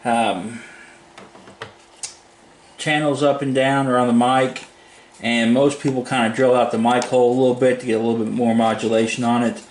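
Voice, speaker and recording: Flat-toned man in an echoey room. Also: mid-range voice, moderate pace, pauses often. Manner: plain-spoken, informal, rambling. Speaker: hobbyist product reviewer. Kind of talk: casual unscripted demo talk. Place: indoors at a home desk. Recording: echoey room, faint background noise.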